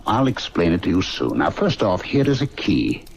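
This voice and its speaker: An actor playing a worldly, commanding senior medical student with urbane, clipped speech in an old-time radio drama. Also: male voice, deep voice, very animated, normal speed, vocal fry, lo-fi sound, a little hiss or hum, exaggerated voice. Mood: brisk, commanding.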